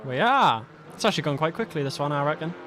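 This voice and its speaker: A British man with a slightly high voice commentates on an online sim race. He is colloquial and matey, slipping between race calls and jokey banter. There is faint car noise on the stream. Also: lively intonation, fast. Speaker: esports livestream race commentator. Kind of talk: live sim racing commentary with casual banter. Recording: noisy stream with car engine sound.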